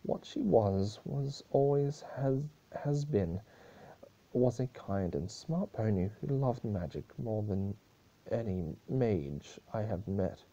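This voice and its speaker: Man reading as a solemn pony princess, muffled and husky. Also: on the low side, lively intonation, takes it slowly, mellow, exaggerated voice. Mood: solemn grief. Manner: solemn, accusing speechmaking. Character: grieving, furious female pony princess ruler.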